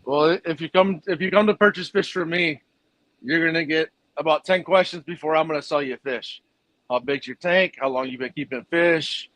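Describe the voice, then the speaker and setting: A man's voice: a little high, normal speed, fairly bright tone, no echo, low-quality recording. A man who runs a fish food business, answering interview questions in a plainspoken, rambling way with fillers.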